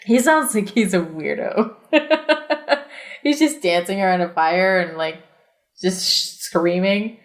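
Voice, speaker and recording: A woman's voice: medium pitch, takes it slowly. Give me an irreverent, giggly woman reading aloud. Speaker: podcast co-host reading a story aloud. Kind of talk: casual comedic read-aloud with banter.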